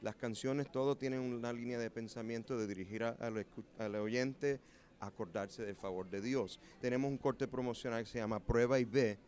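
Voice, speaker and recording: A man in his forties from New Jersey talks about his music work, earnest and pausing often to find his words. His voice has an ordinary middle pitch. He is recorded on a handheld mic at an indoor expo booth. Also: fairly even intonation, darkish tone. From New Jersey, USA. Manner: earnest, with frequent hesitations. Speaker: musician and producer. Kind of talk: interview answers.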